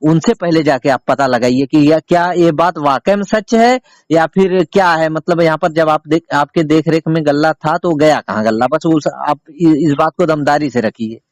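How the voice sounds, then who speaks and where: A man's voice: a little high, normal speed, no room sound. A man interviewing someone as a reporter on a recorded phone call, pressing his questions emphatically and repeating himself. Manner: insistent, repetitive, emphatic questioning.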